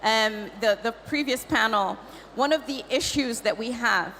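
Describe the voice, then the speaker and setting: A woman from Cameroon speaks on a conference panel in a bright, forceful voice. She is emphatic and often repeats her words. The sound carries the reverb of a large hall with faint noise behind it. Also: fairly high pitch, measured pace. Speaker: panelist, tech advisor. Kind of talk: panel discussion. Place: conference stage.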